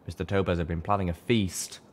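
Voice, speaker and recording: A man with a low voice narrates a fantasy tale. He reads scripted first-person prose as an audiobook storyteller. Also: level tone, fairly quick. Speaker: audiobook reader.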